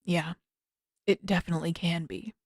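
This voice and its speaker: A woman's voice: lowish voice, steady tone, normal speed, no echo. Woman reading as a grumpy guarded teen prince, terse, fantasy audiobook, dry close sound. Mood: guarded, awkward.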